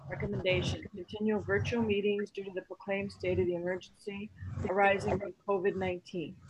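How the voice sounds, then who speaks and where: A woman chairing a virtual council meeting, reading agenda items in a brisk, procedural way over a video call. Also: mid-range voice, noisy background. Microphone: computer mic on a video call.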